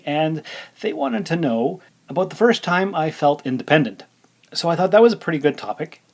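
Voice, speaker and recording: A man's voice: fairly high pitch, darkish tone. A man hosting his podcast, talking in a casual, rambling way with fillers. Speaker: podcast host. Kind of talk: casual solo podcast chat.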